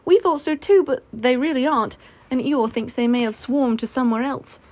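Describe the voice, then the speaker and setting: A woman's voice: high-pitched, normal speed, darkish tone, voice pushed up, natural voice. A woman voicing a polite, sensible, kindly young boy in a storybook, earnest and well-mannered.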